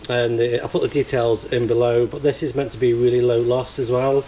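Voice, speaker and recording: A man's voice: medium pitch, level tone, brisk, mellow. A man talking casually through a hands-on task, hesitantly thinking aloud as he works. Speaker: amateur radio operator vlogging. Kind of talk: casual hands-on vlog narration. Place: outdoors in the field and inside a barn. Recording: clear ambient background noise on location.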